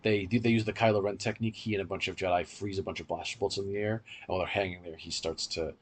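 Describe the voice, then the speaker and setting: A man's voice: sits low, fairly even intonation, quick pace, slight background noise. A man hosting a podcast, giving an explanatory, opinionated review in casual discussion. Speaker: podcast host.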